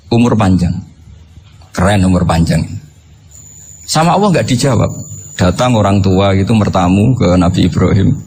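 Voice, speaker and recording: A man's voice: lowish voice, darkish tone. Joking Javanese-accented male preacher, faint background noise. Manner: conversational, joking, poses rhetorical questions. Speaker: Islamic preacher. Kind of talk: informal sermon with humorous storytelling.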